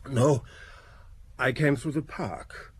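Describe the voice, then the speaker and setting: Very husky, weakened wounded man. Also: mid-range voice, fairly even intonation, takes it slowly, a little mellow. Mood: weak, pained. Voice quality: husky, breathy. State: weak, faint from injury. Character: wounded, weakened man straining to answer questions. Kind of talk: audio drama acting.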